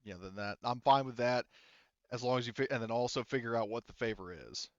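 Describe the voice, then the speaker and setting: A man acting as game master in a tabletop roleplaying session, narrating and explaining rules in a halting, circling way with many hedging asides. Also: mid-range voice, no echo, dull audio.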